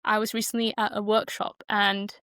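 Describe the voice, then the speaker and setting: A woman's voice: medium pitch, flat delivery, moderate pace, a bit bright, clear, resonant tone, no room sound, studio quality. A young woman from Malaysia who moved to the UK as a child, speaking as a podcast guest in a casual, reflective, loosely structured way. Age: young adult. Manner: informal, loosely structured, thinking aloud.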